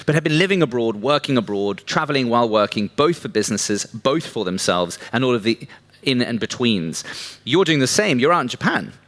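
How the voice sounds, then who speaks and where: A man's voice: mid-range voice, lively intonation, brisk, fairly bright tone. A man hosting a live talk show on a stage, chatty and jokey, talking to the audience. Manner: chatty, jokey, works the crowd. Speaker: show host.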